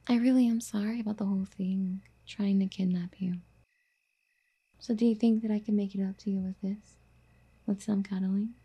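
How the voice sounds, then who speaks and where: Woman acting a breathy, dark-toned doting yandere girlfriend. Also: medium pitch, steady tone, normal speed. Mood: affectionate, coaxing. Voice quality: soft, breathy, whispery. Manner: coaxing, sweetly affectionate. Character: doting, possessive, obsessive yandere girlfriend, wealthy and clingy. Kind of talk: soft intimate ASMR roleplay.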